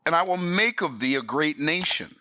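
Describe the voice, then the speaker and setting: A man's voice: fairly high pitch, expressive, moderate pace, noisy background. A man in his sixties teaching the Bible in an emphatic, folksy preaching manner. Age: 60s. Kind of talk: sermon-style Bible study.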